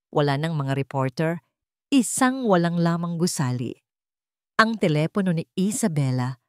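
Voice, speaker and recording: Female Filipino narrator, lower register, deliberate dramatic storytelling, dry sound. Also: a little mellow, no echo.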